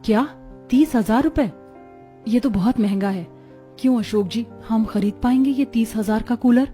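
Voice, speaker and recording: A woman voicing a patient, worried poor mother and elder sister-in-law in an animated story. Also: a little high, moderate pace, faint music behind, natural voice. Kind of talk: animated Hindi family story acting.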